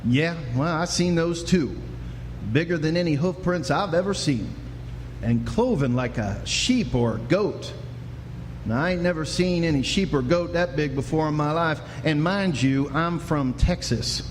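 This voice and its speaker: An actor playing a folksy, skeptical Texan sheriff in a live Western radio drama, with a drawling rustic way of talking. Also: male voice, a little high, animated, unhurried. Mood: musing, wry. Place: live stage performance indoors. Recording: noisy live stage, reverberant hall.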